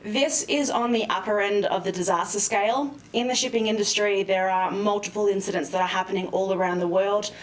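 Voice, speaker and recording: A woman speaking as an expert guest in a live news interview, explaining things in a measured, careful way. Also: medium pitch, fairly even intonation. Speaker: expert guest, maritime lawyer. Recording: roomy, distant mic with faint noise.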